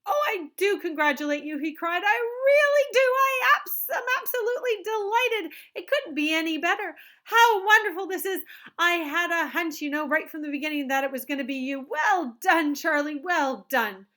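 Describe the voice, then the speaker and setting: Storybook figure: a gushing, theatrical eccentric candy maker, put on by a woman reader, high and bright. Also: moderate pace.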